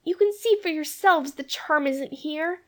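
A fretful, whiny little girl, as a male narrator puts her on, pitched very high. She begs and worries constantly. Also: unhurried, a bit bright. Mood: anxious. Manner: pleading, fretful.

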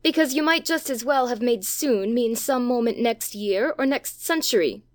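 A quick, argumentative young boy in a storybook reading. The voice is pitched far above his own and talks hastily and pushily. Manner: hasty, pushy arguing. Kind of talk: audiobook character voice.

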